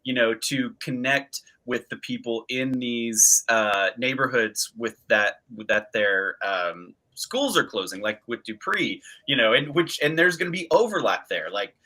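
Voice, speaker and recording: Bright-voiced man hosting a chat. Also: mid-range voice, expressive, a little slow, few pauses, poor audio. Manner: earnest, thinking aloud with restarts. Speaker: podcast host, interviewer. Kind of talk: casual conversational interview.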